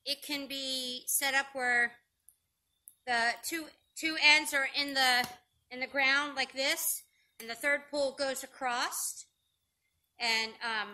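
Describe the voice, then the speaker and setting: A woman's voice: high-pitched, bright, forceful tone. A middle-aged woman chatting through an outdoor open-fire cooking demonstration, explaining step by step with frequent ums, picked up by a camera a few meters away in a grassy yard. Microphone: camera on a stand a few meters away.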